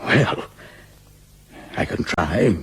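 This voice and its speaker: An actor playing a gruff but courteous older Prussian field marshal in a radio drama, clipped and formal. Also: male voice, drawn-out words, a bit bright, a little hiss or hum. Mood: dry approval.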